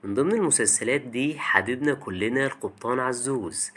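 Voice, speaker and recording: Fast-talking Egyptian man narrating. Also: medium pitch, a bit bright. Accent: Egyptian Arabic. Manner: colloquial, chatty, addresses the listener directly. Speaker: video essay host. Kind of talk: scripted narration, conversational video essay.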